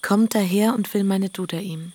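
A woman's voice: medium pitch, a little slow, darkish tone, close to an everyday voice. An actress playing Lea, a proud elder sister and mother of sons, possessive and secretive, in a radio drama. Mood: guarded.

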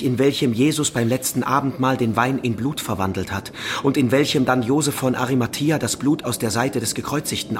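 An actor playing a proud, jealous seeker of a holy relic in a radio drama. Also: male voice, medium pitch, slight background noise, lightly acted.

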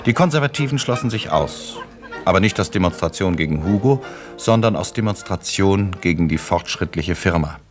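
Low-voiced male literary narrator. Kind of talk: literary scripted narration for a radio play.

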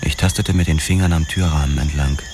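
An actor playing a police detective who narrates a grim crime radio drama in a sombre, deliberate, terse way. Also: male voice, rumbling low, fairly even intonation, background noise. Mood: grim, tense. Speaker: first-person narrator.

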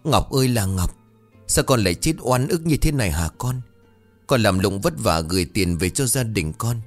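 A man voicing a sharp-tongued older village woman who wails and scolds loudly in an audio story. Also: fairly low pitch, lively intonation, normal speed, faint music behind. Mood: wailing grief. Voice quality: loud, ringing. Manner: wailing, accusatory. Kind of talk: dramatic audio-story dialogue.

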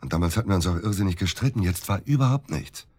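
An actor playing a disgruntled middle-aged provincial surgeon, grumbling and curt, in a naturalistic radio drama. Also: male voice, low-pitched, lively intonation, quick pace, a little mellow, husky, dry recording, clean studio sound. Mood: worried. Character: disgruntled middle-aged provincial surgeon, worried about his missing wife.

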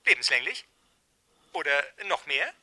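An actor in a 1970s radio play playing a sly, falsely polite blackmailer with an insinuating manner. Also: male voice, fairly high pitch, sing-song, measured pace, sharp tone, full character voice. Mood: slyly polite. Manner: insinuating, mock-courteous, hesitant when probing.

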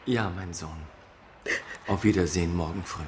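A man's voice: low voice, normal speed, darkish tone, a little hiss or hum, lightly acted. An actor playing a composed older clergyman in a radio drama, speaking in a pastoral way.

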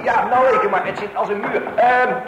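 Noisy old radio play, actor as flustered high-voiced husband. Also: male voice, steady tone, brisk, reverberant, highs cut off, close to an everyday voice. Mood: busy, flustered. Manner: flustered, stammering, muttering repeated words to himself. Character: bumbling, eager husband and father doing home DIY. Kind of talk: radio comedy play acting.

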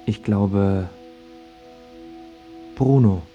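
An actor playing a confused, dying man with failing memory, husky and stubbornly repetitive, in a German radio drama. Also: on the low side, extremely slow, warm tone, dull audio, soft background music.

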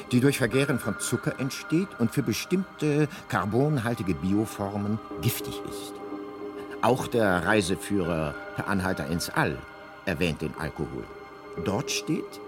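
Radio drama narrator: urbane male guidebook voice, fairly deep, deadpan and measured, clear music bed. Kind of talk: scripted radio play narration.

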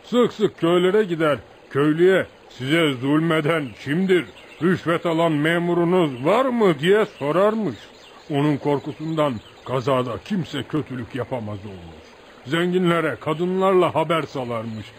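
Bir adamın canlandırdığı saygılı, hayranlıkla efsane anlatan köylü, gürültülü kayıt. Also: male voice, a little high, moderate pace, natural voice. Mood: excited, proud. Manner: animated folk storyteller, addresses listener as sir. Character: rustic village man, deferential, admiring teller of outlaw legends. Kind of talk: radio theatre acting. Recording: noisy radio drama recording.

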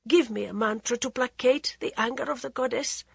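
A woman's voice: on the high side, brisk, creaky, husky, no echo, voice pushed up. A woman voicing a proud, desperate queen. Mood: pleading. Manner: pleading then defiant, formal speech.

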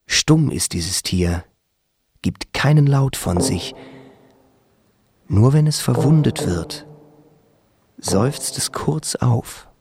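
A man reading a scripted literary narration deliberately, in short separated phrases. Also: medium pitch, animated, vocal fry, a little mellow, slightly rough, no room sound, studio quality.

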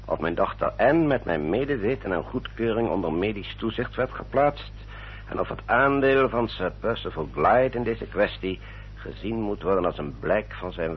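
A man playing an older, formal Victorian gentleman adviser in a Dutch radio drama, rough-voiced, deliberate and emphatic. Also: low-pitched, a little hiss or hum, full character voice. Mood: formal, reading aloud. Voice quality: husky, rough. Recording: dull, muffled old radio recording.

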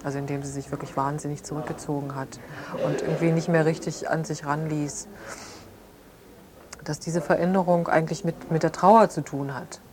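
An actress playing a measured, understanding woman, reflective and hesitant, in a naturalistic interview-style radio drama. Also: low voice, steady tone, close to an everyday voice. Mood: reflective, subdued. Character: measured, understanding woman recalling a fractured friendship. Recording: clearly noisy ambient location sound.

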